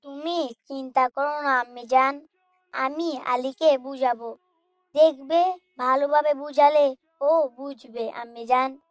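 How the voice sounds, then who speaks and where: Devout young cartoon boy played by a woman, high-pitched, polite and measured, very dry sound. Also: fairly even intonation, no room sound. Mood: respectful, reassuring. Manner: earnest, respectful, measured. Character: polite, pious young boy, gently admonishing his brother. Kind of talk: Islamic moral cartoon dialogue.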